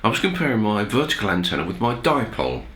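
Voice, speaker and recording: Guy doing informal tech explainer video, really lively intonation, pauses a lot, echoey room. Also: fairly low pitch. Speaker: amateur radio video host. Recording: roomy, echoey indoor sound.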